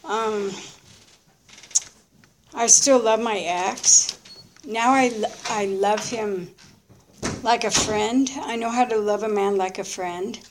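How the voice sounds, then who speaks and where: A woman in her early fifties giving a candid, unscripted personal testimony in short, halting, reflective phrases. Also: mid-range voice, steady tone, noisy background. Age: early 50s. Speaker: recovering alcoholic sharing her story.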